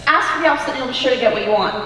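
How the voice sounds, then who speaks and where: Teen actress playing a breezy, high-voiced American woman. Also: racing, a bit bright, natural voice. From United States. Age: teens. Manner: laid-back, reassuring, glib. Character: breezy, unflappable young woman, cheerfully philosophical. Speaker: student stage actor. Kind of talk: one-act stage comedy acting. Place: school auditorium stage. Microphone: distant camera microphone. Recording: echoey auditorium recorded from far off, hiss.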